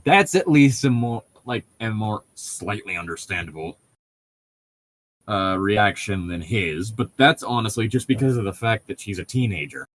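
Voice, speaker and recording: A man chatting casually as a co-host, critiquing in an exasperated, rambling way with halting rephrasing. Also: on the low side, lively intonation, a bit bright. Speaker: co-host reading and mocking a novel. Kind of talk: casual critical chat with read-aloud lines.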